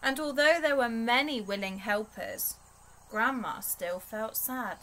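Young female storytime reader, higher register, slow expressive delivery for small children, recorded in a bedroom. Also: a little hiss or hum. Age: young adult. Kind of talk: expressive read-aloud of a rhyming picture book.

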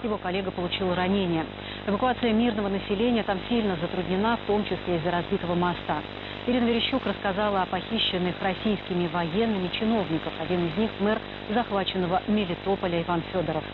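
A woman's voice: medium pitch, quick pace. A woman presenting scripted radio news. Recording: noisy dull shortwave radio broadcast, heavy hiss.

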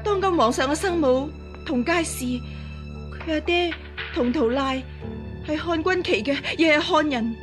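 A high-pitched, pleading, frightened empress. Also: female voice, a little slow, music in the background. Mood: desperate pleading. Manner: pleading, breathless stammering. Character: frightened scheming fake empress pleading for her life. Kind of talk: Cantonese radio drama acting.

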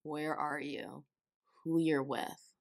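A woman's voice: deep voice, level tone, slow pace, gravelly, noisy background. A woman in her thirties hosting a music reaction channel, musing casually and thinking aloud with small restarts, recorded in a small room. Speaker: K-pop reaction channel host. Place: small room with lit shelves of collectibles. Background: faint music video audio.

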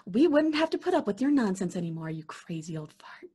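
An actress playing an indignant, hot-tempered young twin sister in an audio horror drama, demanding and exasperated. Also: female voice, medium pitch, sing-song, fairly quick, dark tone, breathy edge. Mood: angry, exasperated. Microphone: video call.

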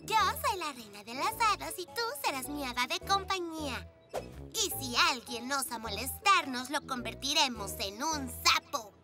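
A woman's voice: high-pitched, lively intonation, normal speed. A woman with a Latin American Spanish accent playing a bossy, prim little girl zebra in a children's cartoon. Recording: loud children's music behind.

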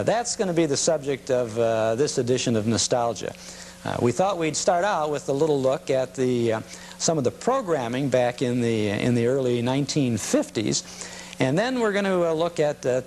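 A man hosting a nostalgia program, talking informally and somewhat hesitantly as he presents. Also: medium pitch. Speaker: host of a nostalgia show. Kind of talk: informal presenting to camera or audience. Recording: hum and steady background noise.

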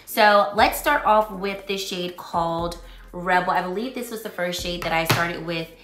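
A woman in her forties, slightly raspy from losing her voice, chatting upbeat and in detail as she reviews makeup in a small home room. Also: fairly low pitch, normal speed, a bit bright. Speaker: beauty vlogger. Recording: roomy echo.

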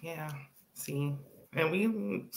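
A woman's voice: low voice, extremely slow, vocal fry. A woman hosting a casual live-stream interview over a video call, chatty and playful, laughing often and using casual slang. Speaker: host of a live-stream show. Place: indoor living room.

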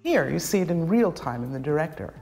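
A middle-aged woman giving scripted instructional narration as a tutorial presenter, enunciating clearly step by step. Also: low voice, big pitch swings, fairly quick, darkish tone. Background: background music.

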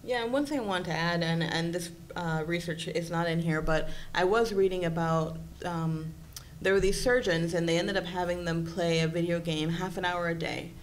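A woman's voice: fairly low pitch, normal speed, slight background noise. A woman from Puerto Rico whose first language is Spanish, speaking earnestly on a convention panel in a hotel conference room. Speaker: panel speaker, psychology doctoral candidate.

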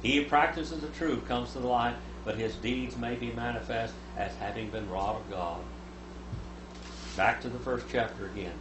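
A man in his seventies teaching the Bible at a lectern, reading scripture aloud and voicing its speakers louder. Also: medium pitch, normal speed. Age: 70s. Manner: reads scripture aloud, rising loud for quoted speakers. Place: small room with a lectern. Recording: echoey room, noticeable hum.

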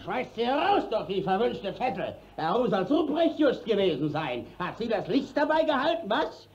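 A man's voice: high voice, big pitch swings, moderate pace, darkish tone. A man playing a sly, roguish village judge in a classical German verse radio play, blustering and wheedling. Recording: muffled vintage radio play recording.

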